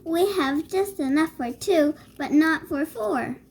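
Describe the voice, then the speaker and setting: Woman reading as a troubled, high-voiced storybook father. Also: slow pace, a little mellow, slight background noise, rough recording. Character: soft-hearted, troubled woodcutter father in a fairy tale.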